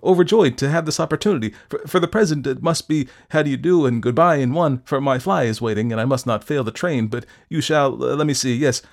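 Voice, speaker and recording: A man's voice: medium pitch, sing-song, brisk, a little mellow, pitched above the performer's own voice. A man voicing an elderly, pompous London doctor who is nervously genteel, effusive and stammering, in a storybook reading. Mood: startled, flustered.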